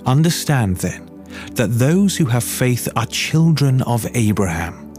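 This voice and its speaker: A man's voice: medium pitch, very animated, unhurried, a little mellow. Man reading scripture over faint music. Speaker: scripture reader.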